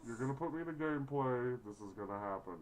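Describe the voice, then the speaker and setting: A woman's voice: rumbling low, fast, a little mellow, rough recording. A woman giving casual, crude and mocking commentary on a visual novel playthrough. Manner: crude, mocking, heavy swearing. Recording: noticeable background noise.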